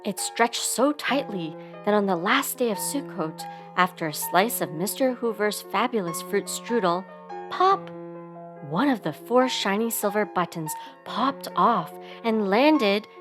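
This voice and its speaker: A woman reading a children's story aloud expressively, giving sound words theatrical emphasis, with instrumental music behind her. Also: mid-range voice. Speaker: storybook reader.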